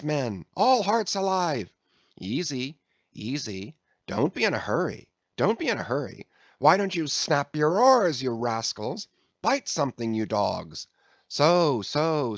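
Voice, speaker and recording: Man doing jocular whaling mate, high coaxing voice, drawling, swings up and down, dry. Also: a bit bright, no room sound, exaggerated voice. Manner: drawling, soothing cajoling mixed with mock scolding.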